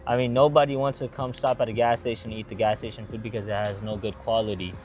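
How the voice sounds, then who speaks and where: A man's voice: on the low side, level tone, fast talker, a little mellow, soft background music. A young man from Atlanta who owns a gas station restaurant, telling its story in a casual interview with eager, rambling answers and many fillers. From Atlanta, Georgia.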